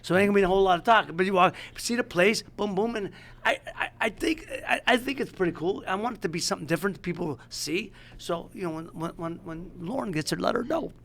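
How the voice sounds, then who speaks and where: Animated high-voiced man, casual banter. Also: gravelly. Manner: animated, quick to laugh, trails off and restarts. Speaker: show host. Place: restaurant bar.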